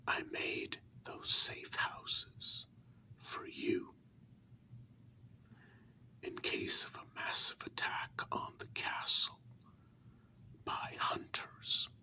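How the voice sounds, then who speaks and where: A man performs a hurt, earnest human lover confronting a vampire partner in an ASMR role-play. The voice is a breathy, sharp-edged whisper. Words are drawn out slowly, with emphatic pauses. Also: full character voice. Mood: reproachful, insistent.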